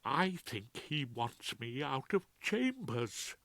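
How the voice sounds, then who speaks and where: A man's voice: medium pitch, big pitch swings, takes it slowly, bright, forceful tone, dry recording, exaggerated voice. A man voicing an anxious, aggrieved middle-aged male barrister, plaintive and indignant, in an audiobook. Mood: horrified. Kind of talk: audiobook character voice.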